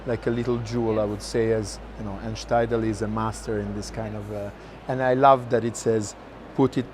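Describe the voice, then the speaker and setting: A man's voice: lowish voice, little pitch movement, brisk, a little mellow. A man hosting a casual conversation about art, appreciative and thinking aloud as he speaks. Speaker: host, curator. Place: art fair, on the move. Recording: outdoor-like urban background noise.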